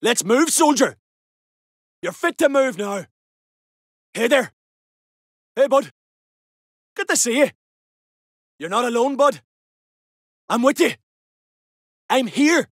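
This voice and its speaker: A man voicing a gruff, battle-hardened Irish commando in a video game, with a brusque, urgent manner. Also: extremely high pitch, expressive, slow, long pauses, dry recording, studio quality, full character voice. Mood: steady, comradely. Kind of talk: game combat voice lines, short barked callouts. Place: studio, dry close recording.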